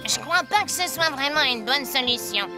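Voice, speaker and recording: A woman's voice: high-pitched, lively intonation, normal speed, over music. A woman voicing a clever, confident cartoon cat with grand schemes and a self-assured, persuasive manner.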